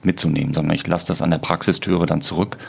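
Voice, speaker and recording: A middle-aged man, a veterinarian, talking in a thoughtful, slightly halting way. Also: deep voice, flat delivery, gravelly, breathy edge. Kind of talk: podcast conversation.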